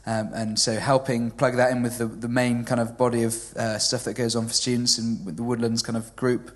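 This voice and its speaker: A man's voice: medium pitch, little pitch movement, fairly quick. A man in his twenties from Wales, a native Welsh speaker with a well-spoken southern English accent, talking about himself in a hesitant, chatty way with lots of ums during a church service. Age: 20s. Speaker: church intern. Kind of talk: church stage interview.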